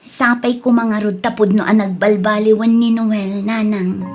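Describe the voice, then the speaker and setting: Woman acting an earnest young wife, mid-pitched voice. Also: fairly even intonation, a little slow, natural voice. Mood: earnest, relieved. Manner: earnest, emotive. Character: long-suffering young wife and mother, earnest, protesting. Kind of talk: Ilocano radio drama acting.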